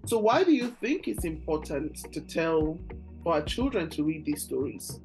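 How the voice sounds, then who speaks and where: A woman's voice: low voice, sing-song, moderate pace, over music, low-quality recording. A woman with a Nigerian accent hosting an interview podcast over a video call, enthusiastic, often repeating words as she searches for phrasing. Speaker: podcast host. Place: room with bookshelves and red sofa.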